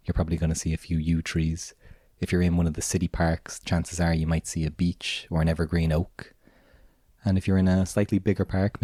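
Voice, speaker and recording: Raspy low-voiced Irish man with a cold. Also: no echo. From Ireland. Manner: relaxed, meandering, many "kind of" fillers. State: hoarse from a cold. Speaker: podcast host and gardener. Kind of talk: casual spoken monologue.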